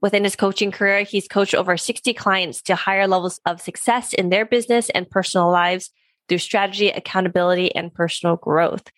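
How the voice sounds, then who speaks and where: Podcast host, female, mid pitch, measured and friendly, dry close sound. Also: steady tone, dry recording. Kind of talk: scripted intro, then interview questions.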